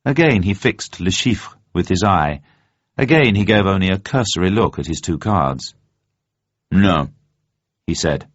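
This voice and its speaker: A man narrating a novel as a scripted dramatic reading, in a measured, deliberate storytelling manner. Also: low voice, lively intonation. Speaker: audiobook narrator. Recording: roomy, slightly dull sound.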